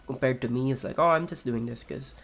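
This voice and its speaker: A young man with a raspy, gravelly voice talks loosely while he plays, trailing off and restarting his sentences. His pitch sits in an ordinary middle range. Faint game music plays underneath. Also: fairly quick. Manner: rambling, soft-spoken, many fillers and restarts. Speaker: streamer. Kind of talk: casual chat while playing a game.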